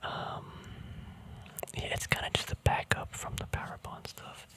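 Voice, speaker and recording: Whispering man, relaxed ASMR. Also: measured pace. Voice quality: soft unvoiced whisper. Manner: relaxed, informal, chatty. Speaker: ASMR video host. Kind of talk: whispered ASMR, casual card deck walkthrough.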